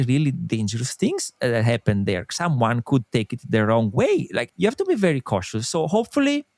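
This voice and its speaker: A middle-aged man from Italy with an Italian accent, a guest in an interview, explaining his views in an earnest, animated way. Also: medium pitch, no echo. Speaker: podcast company co-founder, guest. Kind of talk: interview conversation.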